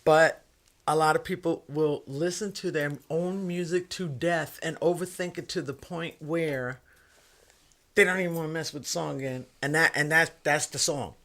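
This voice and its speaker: A woman with a New York accent and a deep, authoritative way of talking, speaking emphatically and repeating phrases for stress in a casual podcast conversation. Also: moderate pace, fairly bright tone. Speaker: music industry guest.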